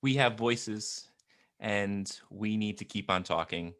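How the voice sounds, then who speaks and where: Male guest, speech therapist, fairly low voice, earnest and steady. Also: slow. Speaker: guest, speech-language pathologist. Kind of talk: interview answers.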